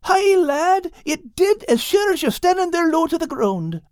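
A man voicing a big, timid, excitable male cat in an audiobook, with a wide-eyed, exclaiming manner. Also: very high voice, a little slow, no room sound, clean studio sound, pitched above the performer's own voice, full character voice. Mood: excited storytelling. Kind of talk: audiobook character acting.

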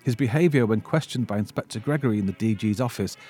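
A man narrates a recap of an old mystery film from a script. His voice sits fairly low and is a little husky, with soft music behind him. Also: fast talker. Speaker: narrator presenting a film summary.

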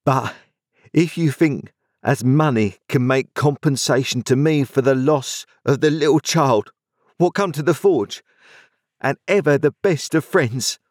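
A man voicing a kindly, rough-spoken village blacksmith with broad rustic speech. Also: mid-range voice, measured pace, no room sound, studio quality, voice pushed up, natural voice. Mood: heartfelt.

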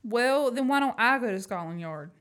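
A woman's voice: a little high, expressive, normal speed, fairly bright tone. A woman acting a plucky, frank young woman in an amateur radio play. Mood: indignant. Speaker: student actor.

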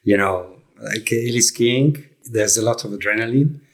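A middle-aged man from Italy with an Italian accent, speaking English as a second language and answering interview questions in a reflective, halting way. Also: on the low side. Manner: reflective, halting, with many fillers and restarts. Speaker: guest, leadership coach.

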